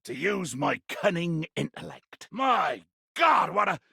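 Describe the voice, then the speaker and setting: A ranting pirate berserker for a video game, comically menacing and full of florid threats. His voice is very gravelly and badly rasped, pitched high for a man. He delivers every line with grandiose bombast, ready to erupt into a roar. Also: very animated, slow, bright, forceful tone, dry recording, clean studio sound, heavily acted. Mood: scheming, gloating. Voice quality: gravelly, raspy. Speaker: voice actor. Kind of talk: theatrical video game character acting.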